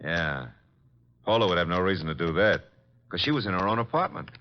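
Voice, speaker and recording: A man playing a brisk, wisecracking detective's assistant in a vintage radio drama, casual and inquisitive. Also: low voice, expressive. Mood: puzzled, inquisitive. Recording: muffled vintage radio recording.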